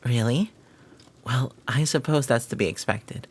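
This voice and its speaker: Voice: medium pitch, a little slow, breathy edge. A performer playing a flirty, devoted maid who teases and gossips in formal, flowery phrasing, in a scripted romantic audio roleplay. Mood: gossipy, amused.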